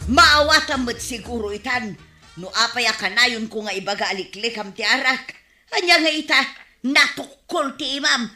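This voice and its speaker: An exasperated wife in a radio comedy, nagging and insistent. Her voice is high and very sharp, with a roomy echo around it. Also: expressive, moderate pace, soft background music. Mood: scolding. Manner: scolding, insistent. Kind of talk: comedic radio drama acting.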